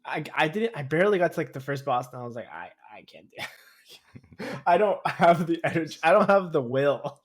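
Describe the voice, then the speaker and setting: A young man co-hosting a podcast, talking casually and a little haltingly, with a teasing, chuckly manner. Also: on the high side, animated. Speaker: podcast co-host. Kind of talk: casual gamer chat.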